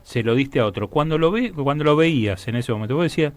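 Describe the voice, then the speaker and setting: A man with an Argentine Rioplatense accent hosting a casual interview, agreeable and quick to acknowledge what he hears. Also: a little high, animated, dry recording. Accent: Argentine Rioplatense Spanish. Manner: agreeable, frequent short acknowledgements. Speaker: radio interviewer and host.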